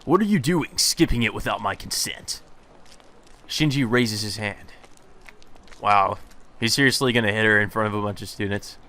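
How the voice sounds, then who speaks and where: A man's voice: fairly low pitch, moderate pace, long pauses, pushed and bright. A man hosting a let's play, reading visual novel text aloud with dramatized lines and casual asides. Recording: game music behind the voice. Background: visual novel game music.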